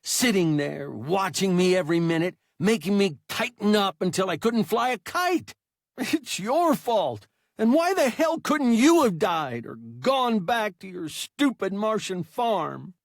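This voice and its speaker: A man voicing an injured young male pilot who is bitter and accusing, in a thin, high whining voice. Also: sing-song, unhurried, gravelly, dry recording, clean studio sound, heavily acted. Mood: shouting, tearful. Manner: bitter, shrill and rising to screams.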